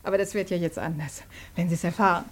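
An actress playing an anxious, loving young woman in a naturalistic German radio drama, hushed and pleading. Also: fairly low pitch, steady tone, fast talker, close to an everyday voice. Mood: anxious, resigned. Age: young adult.

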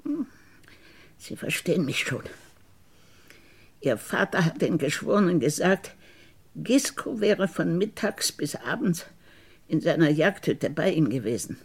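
An actress playing a bitter, wronged convicted woman in a radio crime drama, deliberate and insinuating, with a husky low voice. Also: sing-song, full character voice. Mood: insinuating, resentful. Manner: deliberate, halting, insinuating, dropping into whispers. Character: bitter, wronged woman once convicted of murdering her sister.

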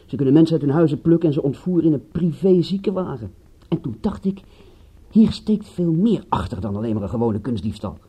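A man playing a private detective's calm, reassuring friend in a radio play, speaking matter-of-factly. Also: fairly high pitch, fairly quick, husky. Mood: pondering. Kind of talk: Dutch radio play acting.

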